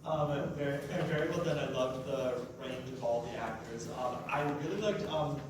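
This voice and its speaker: Guy in the audience giving halting feedback, super echoey, noisy, lots of ums and likes. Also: mid-range voice. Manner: halting, filler-heavy. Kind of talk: casual spoken feedback. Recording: very echoey room, distant, noisy.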